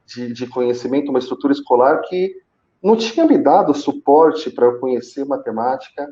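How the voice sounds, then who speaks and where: Halting Brazilian man, softly dulled tone. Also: mid-range voice, expressive, dull audio. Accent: Brazilian Portuguese. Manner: halting, with repeated words and drawn-out pauses. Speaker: guest, call center senior manager. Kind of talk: conversational personal account in a live interview.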